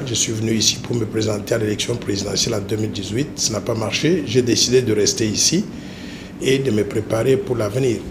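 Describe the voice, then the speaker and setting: A man in his sixties from Congo, speaking, presents himself formally in an interview in a measured, deliberate way with hesitant pauses. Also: lowish voice. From Democratic Republic of the Congo. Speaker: interview guest, political candidate. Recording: noisy ambient background.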